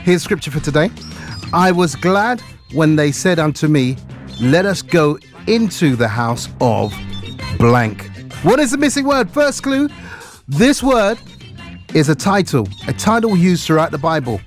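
A man hosting an afternoon gospel radio show, chatting casually in an upbeat, lively way and reading out listener messages. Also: measured pace, soft background music. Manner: upbeat, chatty, lively rises and drops in pitch. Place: radio studio.

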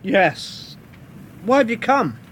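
A man's voice: sits high, sing-song, takes it slowly, fairly bright tone, a little hiss or hum, heavily acted. An actor playing the apostle Peter, a plain-spoken man preaching earnestly to a crowd in a radio drama. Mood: calm, assured. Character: Peter, bold fisherman apostle, middle-aged man.

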